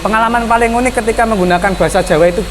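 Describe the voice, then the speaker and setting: A man with a Javanese accent hosting a school podcast, chatty and quick to back up the guest with short replies. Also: high voice, noisy background. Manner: chatty, lots of backchannel "hmm" and "oke", mixes in Javanese words. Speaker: podcast host, MC. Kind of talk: casual podcast interview.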